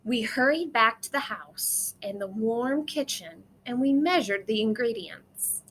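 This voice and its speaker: A woman's voice: a little high, animated, unhurried, a bit bright, slight background noise, rough recording. A woman reading a children's storybook aloud, expressively acting out the dialogue and sound words.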